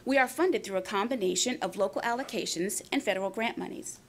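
A woman's voice: medium pitch, fast talker, a little hiss or hum. Woman narrating a school presentation. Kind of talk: scripted narration.

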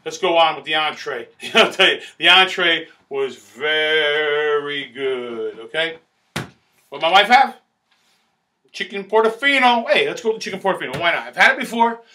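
Middle-aged New man, high and sharp-toned, pushy pitchman energy for a home-made food review show. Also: expressive, moderate pace. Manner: loud, boisterous, salesman-like, repeats himself. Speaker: food critic host. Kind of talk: restaurant review to camera. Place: small indoor studio in front of a banner backdrop.

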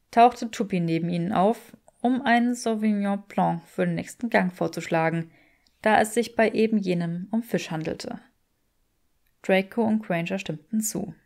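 A woman's voice: mid-range voice, darkish tone. A woman reading scripted story narration as an audiobook narrator.

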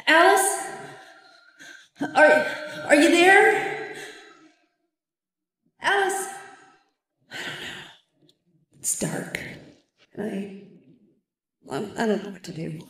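A woman playing an anxious but plucky, kind-hearted young animator in a horror video game, expressive and often talking to herself. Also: sits high, drawn-out words, pauses often, long reverb tail, heavily acted. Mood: uneasy, searching. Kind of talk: dramatic horror game voice acting.

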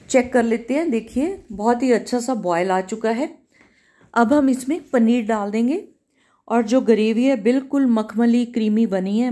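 A woman hosting a home cooking channel talks through each step of a recipe in a calm, instructive way, often repeating herself. Her voice sits a little high but sounds warm and mellow. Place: home kitchen.

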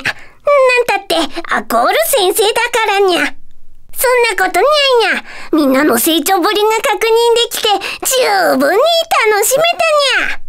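A woman voicing a small, cheeky, nosy cat mascot for a video game, with a childlike squeaky voice and a catlike tic at the ends of sentences. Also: fairly bright tone, exaggerated voice. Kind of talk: Japanese video game character voice acting.

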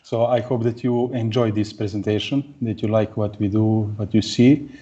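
A man, giving a tech conference talk over a video call in a plain, steady way with many pauses. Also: fairly low pitch, husky, muffled recording. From Serbia. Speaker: conference speaker. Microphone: headset or laptop mic on video call.

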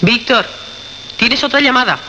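An actress playing an insistent, harried radio station colleague in a suspense drama. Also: female voice, fairly high pitch, animated, a little slow, pushed and bright. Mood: urgent. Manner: urgent, pleading. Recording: dull radio recording, faint noise.

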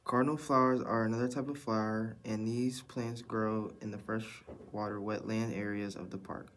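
A man's voice: on the low side, little pitch movement, normal speed, fairly bright tone. A teenage boy narrating a school project, reading his notes aloud. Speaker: student presenter.

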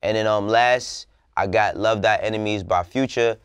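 A man's voice: lowish voice, moderate pace, clean tone, no room sound. A young man co-hosting a studio talk show, chatting in laid-back banter and ribbing the others. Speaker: co-host. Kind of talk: casual studio panel chat. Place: TV studio set.

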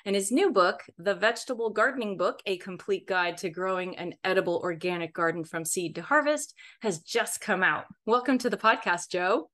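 A woman's voice: mid-range voice, normal speed, few pauses, fairly bright tone. A woman hosting a casual gardening podcast interview, friendly, with frequent laughs and fillers. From Southern California. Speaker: podcast host.

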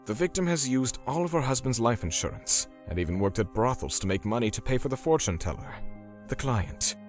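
A man's voice: medium pitch, animated, a little fast, close to an everyday voice. An actor voicing a solemn, menacing torturer who narrates his vengeance in a dramatic manga dub. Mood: sombre. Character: grim, self-righteous male torturer seeking vengeance for victims. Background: music.

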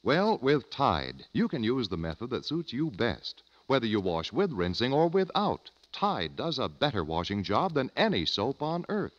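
A man's voice: medium pitch, huge pitch swings, moderate pace, few pauses. A man announcing a 1940s radio commercial with polished, emphatic salesmanship. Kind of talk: 1940s radio advertising pitch. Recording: muffled vintage radio recording.

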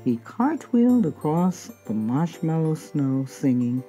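A woman's voice: sits low, big pitch swings, unhurried, warm tone, soft background music. A woman reading a children's picture book aloud expressively, drawing out key words. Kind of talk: storybook read-aloud.

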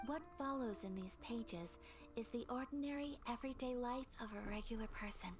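A woman playing a refined, gentle young noblewoman in a game, speaking formally and softly, with measured, polite phrasing and some breathiness. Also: a little high, natural voice. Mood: solemn. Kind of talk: English game dub acting. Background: game music.

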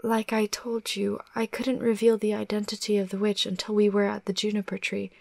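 A woman voicing an anxious, cunning young girl in an animated drama, earnest and guarded, sometimes breathy. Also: mid-range voice, fairly quick, natural voice. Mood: calm, scheming. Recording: music under the dialogue.

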